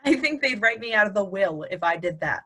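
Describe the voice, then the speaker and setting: A woman's voice: medium pitch, animated, brisk, pushed and bright, breathy edge. A woman playing a snarky, teasing wife in a conversational comedy play reading, full of sarcasm. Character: snarky, joking wife of the anxious son.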